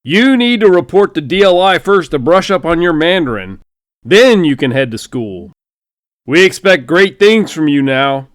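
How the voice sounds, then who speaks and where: A man voicing a loud, blustery older male general barking congratulations over the phone. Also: fairly high pitch, sing-song, moderate pace, dry recording, clean studio sound, pitched above the performer's own voice. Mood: booming. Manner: loud, blustery, folksy military bark.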